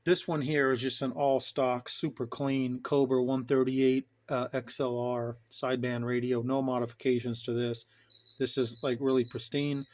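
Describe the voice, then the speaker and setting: A man's voice: medium pitch, level tone, normal speed, a little mellow. A middle-aged man giving a casual show-and-tell in a rambling, informal, enthusiast's way, like a hobby vlogger. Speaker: hobbyist vlogger showing his radio gear.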